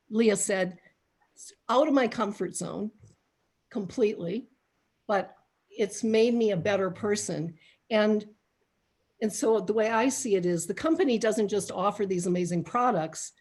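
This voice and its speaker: Upbeat woman, husky tone, mid pitch, testimonial over a video call. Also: darkish tone. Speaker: speaker sharing a testimonial.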